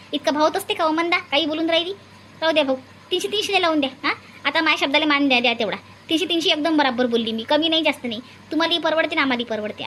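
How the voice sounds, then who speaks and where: A woman's voice: sits high, fairly bright tone, a little hiss or hum. A woman voicing an outspoken, bossy farmer's wife in a cartoon, with a Varhadi Marathi accent. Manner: bossy, argumentative.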